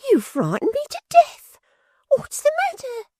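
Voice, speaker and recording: Shrill, affected young maidservant: sly gossip, falsetto, swooping and slow, dry audiobook read. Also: male voice, wildly swooping pitch, a little mellow, no room sound, exaggerated voice. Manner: gushing, mock-breathless, drawn-out exclamations. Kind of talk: audiobook character voice.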